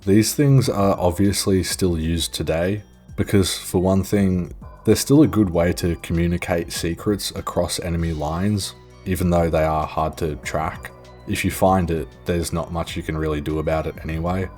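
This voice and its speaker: A young man with a very low voice narrates casually over music. He is informal and self-deprecating, happy to drop a swear word or an aside into his documentary delivery. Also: steady tone, normal speed, darkish tone. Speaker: video narrator. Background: background music.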